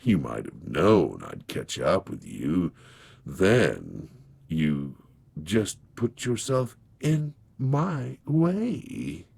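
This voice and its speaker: A man voicing a grim, patient old reaper from a storybook, gravelly and raspy, with a slow, folksy, wry delivery. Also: sits low, huge pitch swings, darkish tone, pitched below the performer's own voice, heavily acted. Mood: wry, chiding. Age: older. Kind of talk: dramatized storybook reading.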